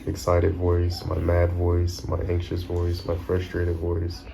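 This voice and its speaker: A man chatting casually in a family vlog, speaking in a flat, monotone way. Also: sits low, slow, vocal fry, crowd noise. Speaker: father-to-be, vlogger. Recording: echoey room with background noise.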